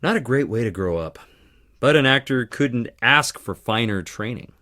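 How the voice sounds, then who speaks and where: A man's voice: medium pitch, lively intonation, moderate pace, bright, forceful tone. A man playing a wry, guarded young theatre worker in an adventure game, speaking conversationally. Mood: guarded, reflective. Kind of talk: scripted adventure game dialogue.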